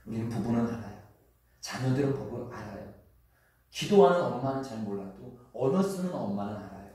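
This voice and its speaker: Chungcheong pastor preaching in an echoey hall. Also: male voice, medium pitch, animated, mellow. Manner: earnest, conversational preaching with drawn-out sentence endings. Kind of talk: sermon. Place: church sanctuary. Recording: echoey church hall from far off.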